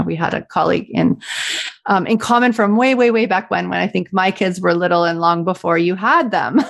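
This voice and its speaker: A woman's voice: medium pitch, creaky. A middle-aged woman hosting a podcast, introducing and interviewing her guest with gushing enthusiasm. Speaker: podcast host.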